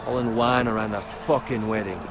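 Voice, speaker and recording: An actor playing a bitter, envious, foul-mouthed young squire in a medieval fantasy video game. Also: male voice, lowish voice, steady tone, a little fast, background noise, natural voice. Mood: bitter, sneering. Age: young adult. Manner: griping, sneering, swearing. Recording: music behind the voice.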